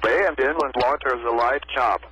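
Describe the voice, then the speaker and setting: Bright, raspy synthetic American male announcer. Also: medium pitch, moderate pace, no echo, rough recording. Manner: stilted synthetic text-to-speech delivery. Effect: synthetic computer voice. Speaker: weather radio announcer. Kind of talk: scripted marine forecast bulletin. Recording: weather radio broadcast audio.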